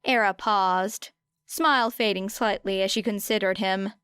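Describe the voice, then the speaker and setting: Very clear-voiced female audiobook narrator. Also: medium pitch, fairly even intonation, measured pace, a bit bright, dry recording, studio quality. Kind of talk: scripted story narration.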